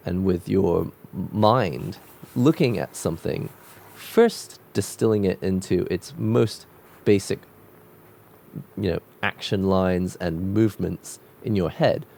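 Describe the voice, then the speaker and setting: A man with a cold-croaked voice talking casually and reflectively while drawing, musing with loose restarts. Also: lowish voice, warm tone. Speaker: art vlogger drawing on camera.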